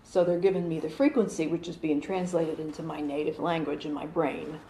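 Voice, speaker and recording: Woman in her 40s chatting to a few people in her living room, lowish voice, lots of ums, roomy sound with a faint hum. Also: fairly even intonation, a little fast. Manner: chatty, many fillers and restarts, soft laughs. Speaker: channeler. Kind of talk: casual explanation to a small group.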